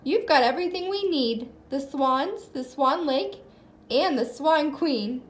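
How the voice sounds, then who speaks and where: A woman's voice: high voice, expressive, unhurried, reverberant. A woman voicing an enthusiastic, playful young boy in a storybook, exclaiming and encouraging.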